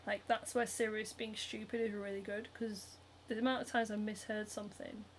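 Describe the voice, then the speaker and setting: A woman's voice: medium pitch, little pitch movement, moderate pace, slight background noise. A young woman with a Northern English accent, hosting a casual livestream while she sews, reading out viewer comments and chatting with lots of giggles, ums and likes. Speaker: cosplayer and livestream host. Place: home, hand sewing while streaming.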